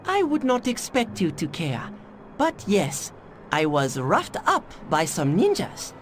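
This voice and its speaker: Lilting, proud Japanese scientist. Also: female voice, lowish voice, huge pitch swings, slow pace, slight background noise, full character voice. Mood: dry. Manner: stilted formal phrasing with dropped articles. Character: proud, clever, eccentric Japanese scientist, dryly formal. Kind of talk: video game cutscene voice acting.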